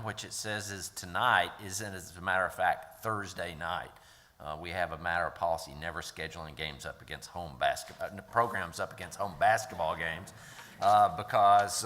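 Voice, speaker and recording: A middle-aged man with a deep yet bright, forceful voice opens a lecture event. He speaks in a relaxed, chatty way, stopping often for fillers as he introduces the guest. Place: lecture hall with an audience.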